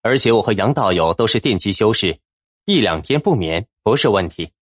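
A man's voice: on the low side, expressive, fairly quick, no echo, clean studio sound. A man voicing a cool, resolute Taoist cultivator in an audiobook, formal and decisive. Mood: politely firm. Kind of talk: audiobook character acting.

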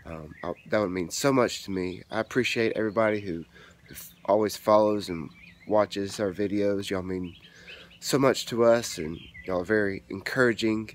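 A man's voice: lowish voice, normal speed. Brief: guy in his thirties, sinus-congested, friendly handheld vlog chat, light background noise. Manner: friendly, chatty, thanks viewers often. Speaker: family vlogger. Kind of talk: casual vlog talk to camera. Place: outdoors in a front yard, then indoors at home. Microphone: phone or camera held at arm's length.

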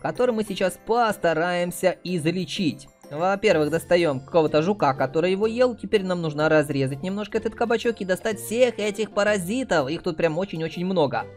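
A man's voice: high voice, fairly quick, hardly any pauses, faint music behind. A man hosting a mobile game playthrough, giving chatty, casual commentary addressed to his viewers. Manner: chatty, uses cute diminutives.

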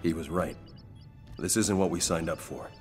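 A man voicing a disillusioned speedster superhero in a video game, with a very deep voice. He sounds tired of the fight, and his words carry a dry, sarcastic edge. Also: normal speed, a little mellow, faint music behind, close to an everyday voice. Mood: weary, disillusioned. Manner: weary, then dryly sarcastic. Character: disillusioned male speedster hero, wry.

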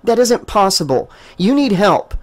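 Male narrator doing a frantic young woman, high and strained, audiobook. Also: very animated, a little slow. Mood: scornful. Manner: high strained pleading and shouting.

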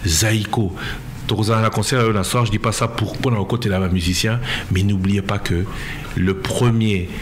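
A forceful man in his forties with a Congolese French accent, his voice on the lower side. He argues his points emphatically into a studio mic, mixing French with Lingala. A faint hum of room ambience sits behind him. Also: fairly even intonation, moderate pace. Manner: emphatic, mixes French and Lingala, gestures while talking. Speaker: minister answering listener questions. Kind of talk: radio debate, answering questions. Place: radio studio at an expo. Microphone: studio microphone.

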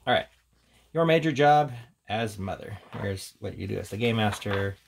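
Male hobby reviewer, lowish and crackly, informal offhand delivery, slight background noise. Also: expressive, normal speed, gravelly. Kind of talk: casual hands-on book showcase commentary.

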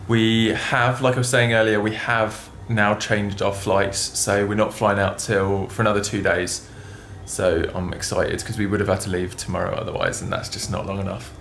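A young man, talking excitedly to a handheld camera as a travel vlogger. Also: lowish voice, normal speed, few pauses, slight background noise. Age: young adult. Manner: chatty, excitable, talks to camera. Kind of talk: casual vlog narration. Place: car, outdoors and hotel room. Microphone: camera held at arm's length.

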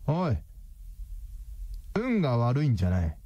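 A cocky detective, wildly animated pitch. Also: male voice, measured pace, dry recording, lo-fi sound. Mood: lecturing. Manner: smug, explanatory, theatrical. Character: cocky, calculating male detective, coolly triumphant. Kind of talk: radio drama acting.

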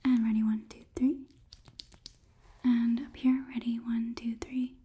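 A woman in her twenties performing a whispered ASMR roleplay as a politely detached robot examiner, murmuring in a soft, unhurried, clinical manner. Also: fairly high pitch, level tone. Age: 20s. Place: small indoor studio set. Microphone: close microphone, on camera.

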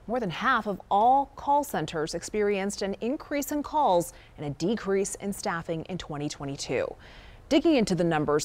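A woman in her thirties with an American accent, reading a scripted news introduction as a TV anchor. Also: medium pitch. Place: TV news studio.

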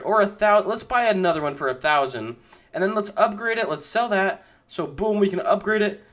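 A young man casually commenting on his own gameplay, chatty and excitable, talking to his viewers. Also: high voice. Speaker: gaming YouTuber.

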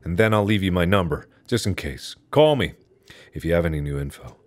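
A man's voice: low voice, animated, a little fast, a little mellow. A man voicing a police officer who questions a young boy in a condescending, probing way in a visual novel game.

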